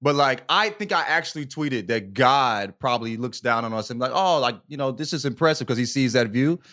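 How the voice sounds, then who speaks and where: A man's voice: medium pitch, animated, brisk, sharp tone. A man co-hosting a casual comedy podcast, talking in profane slang and repeating phrases for emphasis. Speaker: podcast co-host.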